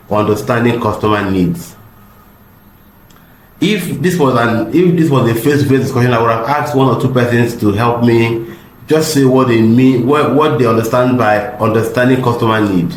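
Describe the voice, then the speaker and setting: A middle-aged man with a slightly hoarse voice from a cold, giving an online customer service seminar in an earnest way and repeating words for emphasis. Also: medium pitch, roomy sound, slight background noise, poor audio.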